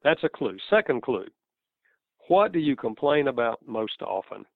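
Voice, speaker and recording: A man's voice: mid-range voice, sing-song, normal speed, dry recording. Man, Southern US accent, earnest author guest, lo-fi phone-quality line. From American South. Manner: earnest, explanatory, chuckles between points. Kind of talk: interview answers. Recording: tinny phone-like line, dry.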